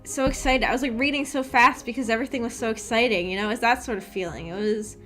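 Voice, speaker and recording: A woman's voice: on the high side, fast, a bit bright, faint music behind. A woman streamer chatting casually and enthusiastically with her viewers, with informal phrasing full of "like". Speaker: streamer hosting a visual novel book club. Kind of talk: casual livestream chat with viewers.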